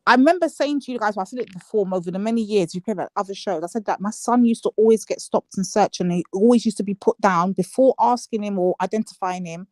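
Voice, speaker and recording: A woman hosting a casual live panel chat, animated and quick, swearing playfully. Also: medium pitch, dry recording. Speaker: show host.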